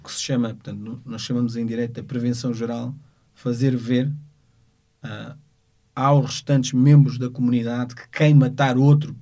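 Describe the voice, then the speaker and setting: A man with a European Portuguese accent gives an unscripted account in the voice of a working lawyer. His mid-pitched voice stays quite flat, and he moves forward haltingly, measuring and searching for each phrase. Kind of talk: unscripted spoken account of a court case.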